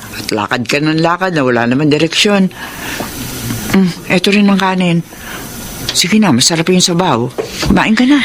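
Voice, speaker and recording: A motherly Filipino woman played by an actress in a radio drama, nurturing and gently advising. Her delivery is earnest, with faint noise behind the voice. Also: fairly low pitch, lively intonation, normal speed, pauses often, close to an everyday voice.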